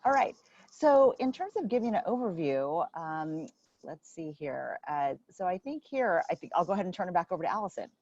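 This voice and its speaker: A woman's voice: on the low side, big pitch swings, a little fast, a little mellow, no room sound. A woman hosting a webinar session over a video call, speaking in a friendly way with many fillers. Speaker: webinar host, board member. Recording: dull lo-fi call audio.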